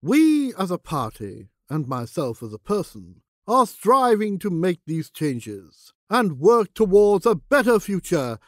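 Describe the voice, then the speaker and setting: A man's voice: high voice, very animated, measured pace, few pauses, no echo, studio quality. A man playing a flustered young politician.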